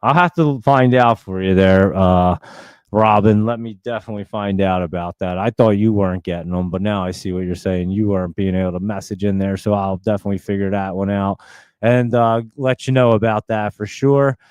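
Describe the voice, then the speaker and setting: A man with a thick New Jersey accent hosts a live stream in a loose, rambling way, full of fillers and restarts. His voice sits fairly low and sounds smooth. The recording is dry and close, with no room echo. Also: steady tone, a little fast, a little mellow. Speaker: livestream host. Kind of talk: casual chat reading viewer comments.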